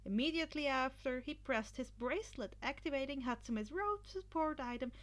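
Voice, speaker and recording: A woman reading a fan fiction story aloud as expressive scripted narration. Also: high-pitched, normal speed. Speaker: podfic reader.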